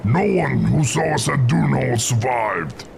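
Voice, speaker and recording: An actor playing an eager, fierce orc lieutenant reporting in a brisk, formal military manner in a game cutscene. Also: male voice, medium pitch, lively intonation, gravelly, a little hiss or hum. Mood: proud reporting. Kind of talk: video game cutscene acting. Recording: loud music underneath.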